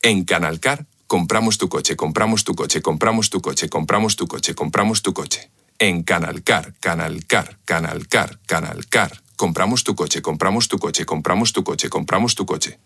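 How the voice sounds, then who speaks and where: A man with a rough, gravelly voice delivering a repetitive radio advert slogan. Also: sits low, moderate pace, pushed and bright. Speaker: jingle-style advert voice.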